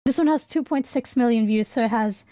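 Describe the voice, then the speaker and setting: A woman's voice: fairly high pitch, brisk, warm tone, no room sound, clean studio sound. A woman livestreamer chatting casually with viewers, thinking aloud in a hesitant, rambling way. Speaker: livestreamer and VTuber host.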